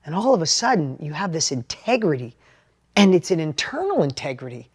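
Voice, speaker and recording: A middle-aged man with a New York accent and a high-pitched voice, giving an animated, plainspoken motivational talk about sales. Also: a little fast, breathy edge. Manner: animated, plainspoken, repeats and restarts words. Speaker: entrepreneur and sales educator. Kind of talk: motivational talk with personal story.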